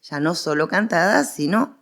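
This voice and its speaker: An Argentine woman with a fairly low voice and a lot of vocal fry. She speaks as a guest historian in an interview, explaining things conversationally and repeating phrases for emphasis. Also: expressive, moderate pace, a bit bright.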